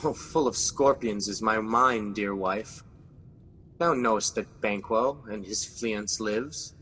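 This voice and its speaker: A brooding guilty king, low voice, bright forceful tone, reverberant radio play. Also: slow pace, a little hiss or hum. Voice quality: brooding, intense. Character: Macbeth, a guilt-ridden scheming Scottish king. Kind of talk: classic radio drama acting.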